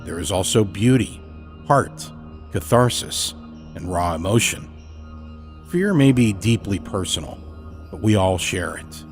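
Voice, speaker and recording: A man hosting a horror fiction podcast, reading a scripted introduction in a measured, deliberate way. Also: sits low, expressive, faint music behind. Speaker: podcast host.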